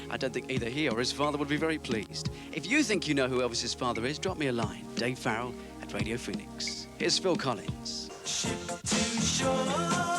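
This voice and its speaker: A man plays a breezy, quick-witted local radio DJ with a lively, bouncing voice, full of teasing patter. Faint music sits behind him. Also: mid-range voice, close to an everyday voice. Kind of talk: 1980 TV drama acting.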